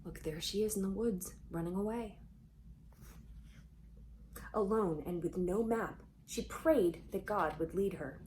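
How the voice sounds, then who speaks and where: A woman reading a children's storybook aloud expressively, pausing for conversational asides and questions to young listeners. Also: medium pitch, a little mellow, a little hiss or hum, low-quality recording.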